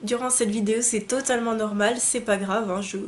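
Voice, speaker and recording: A young woman with a stuffy, blocked nose, chatting casually and excitedly as she unboxes beauty products. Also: fairly high pitch, fairly quick. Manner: chatty and enthusiastic, many fillers and restarts. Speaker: beauty vlogger. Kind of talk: casual unboxing chat to camera.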